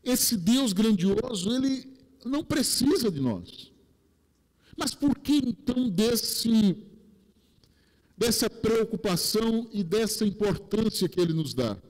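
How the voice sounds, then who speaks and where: Slow, high-voiced Brazilian preacher. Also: male voice, a little mellow. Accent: Rio de Janeiro Brazilian Portuguese. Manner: slow, deliberate preaching with emphatic drops in pitch; gestures broadly while preaching at a pulpit. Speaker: pastor. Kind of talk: sermon. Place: large church sanctuary, indoors. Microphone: headset mic. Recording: long church hall reverb.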